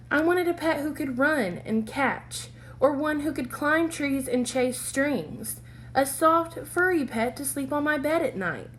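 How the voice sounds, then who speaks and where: A woman reading a children's picture book aloud in an expressive, deliberate, animated storytelling manner. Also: fairly high pitch, slight background noise.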